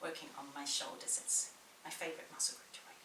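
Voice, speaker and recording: A woman's voice: deep voice, flat delivery, fast talker, pushed and bright, slight background noise. A woman personal trainer with a slight New Zealand accent, chattily coaching a beginner workout in a small indoor room. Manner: chatty, encouraging, talks a lot. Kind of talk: guided workout instruction. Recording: roomy echo, distant mic, faint ambience.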